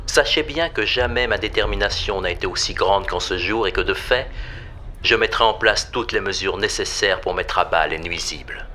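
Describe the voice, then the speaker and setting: A man's voice: sits low, normal speed, bright, forceful tone, a little hiss or hum. A man playing a venomous tyrant who delivers a formal, solemn, menacing political speech in an audio drama. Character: venomous tyrant addressing the nation in a televised speech.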